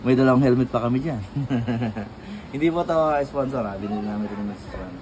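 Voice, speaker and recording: A man talks through an unboxing in a loose, chatty way, with plenty of fillers and laughs. His voice sits at an ordinary middle pitch. Loud noise and vehicle sounds run behind him. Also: normal speed. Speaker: moto vlogger. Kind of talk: casual unboxing vlog.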